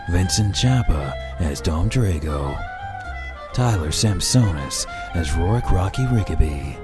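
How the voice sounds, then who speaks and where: A man serves as narrator, reading scripted credits in a deep voice. He takes his time, with prominent music playing under him. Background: loud music.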